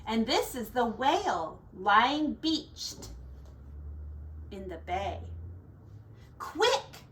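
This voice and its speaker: Slow, animated woman reading to children. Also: fairly high pitch. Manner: sing-song, dramatic, with pointing-out asides to children. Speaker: teacher reading aloud. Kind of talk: expressive picture-book read-aloud for children. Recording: distant mic, faint background noise.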